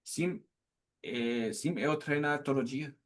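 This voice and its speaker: A man, a language learner, answering interview questions hesitantly with pauses and fillers. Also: mid-range voice.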